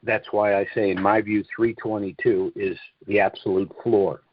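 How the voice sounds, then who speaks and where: A man giving an informal, opinionated monologue on a conference call, speaking emphatically and deliberately, repeating phrases for weight. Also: fairly low pitch, flat delivery, no room sound. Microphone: conference call line. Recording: dull, dry, lo-fi conference call audio.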